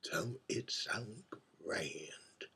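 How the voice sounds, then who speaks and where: Stage monologue: lonely, boozy drag queen played by a man, husky voice, unhurried and halting, wistful barroom confidant. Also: lowish voice, lively intonation, sharp tone, full character voice. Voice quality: husky, breathy. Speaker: writer reading own monologue. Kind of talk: theatrical dramatic monologue.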